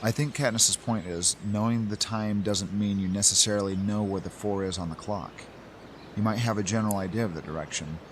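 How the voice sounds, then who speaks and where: Low, precise brainy man, acted out. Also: steady tone, fairly quick, slight background noise, natural voice. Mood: matter-of-fact. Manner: precise, explanatory. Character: measured, pedantic, brainy male inventor. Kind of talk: amateur radio drama acting.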